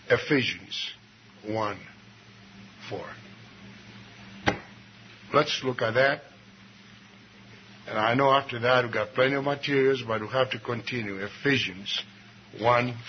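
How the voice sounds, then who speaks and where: Emphatic preacher man, lo-fi sermon recording. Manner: emphatic, swings between low asides and raised exclamations; reads scripture slowly and deliberately. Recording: dull lo-fi recording with faint noise.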